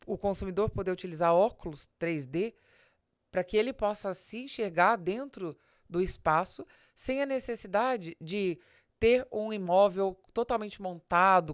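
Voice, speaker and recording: A Brazilian woman with a fairly low voice, recorded close and dry. She explains business ideas informally but emphatically, like a podcast host teaching her audience, and addresses the listener directly. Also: dry recording. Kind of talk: informal business advice monologue.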